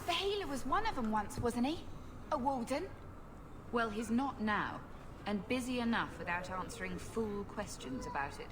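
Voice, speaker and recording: A woman's voice: on the high side, animated, normal speed, lightly acted. A woman playing a stern, blunt, weary and protective refugee aid worker in a video game. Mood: protective, defiant. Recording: noisy, long hall-like reverb.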